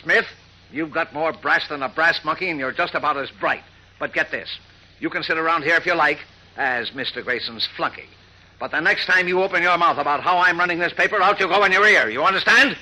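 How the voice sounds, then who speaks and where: A man's voice: on the high side, brisk, pushed and bright, rough recording. A man acting a hot-tempered, middle-aged newspaper editor in a brash, combative 1940s radio style. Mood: combative, indignant. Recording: vintage radio recording.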